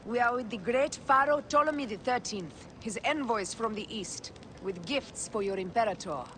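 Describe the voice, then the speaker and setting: A man's voice: very high voice, expressive, normal speed. A man playing a deferential, ceremonious royal envoy bearing gifts in a video game. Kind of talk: video game dramatic acting. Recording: clearly noisy background.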